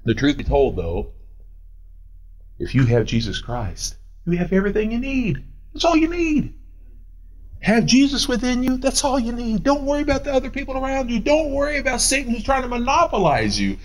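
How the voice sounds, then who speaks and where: Pastor, 40s, male: high, swooping delivery, paces the pulpit, argues with imagined doubters. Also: poor audio. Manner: animated, swooping pitch, paces while preaching; answers his own imagined questions. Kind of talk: sermon.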